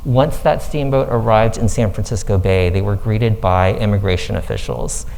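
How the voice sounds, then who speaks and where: A man born in Thailand, a foundation director, giving an earnest, measured presentation with slides to a live audience in a room. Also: lowish voice, creaky, darkish tone, poor audio.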